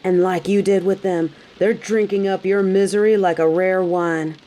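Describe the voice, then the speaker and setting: A woman's voice: mid-range voice, fairly even intonation, normal speed, warm tone, lightly acted, rough recording. A woman playing a tough, sassy bounty hunter in an audio roleplay, speaking straight to the listener with dry, taunting, scolding bluntness. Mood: stern, scolding. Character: tough, sardonic female bounty hunter, grudgingly hospitable, scolding. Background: rain.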